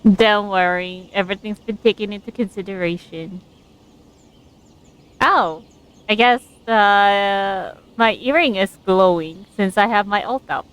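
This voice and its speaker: Clear-voiced woman streaming a game. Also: mid-range voice. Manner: chatty, reacting aloud to the story. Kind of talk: casual live gameplay commentary and reading along.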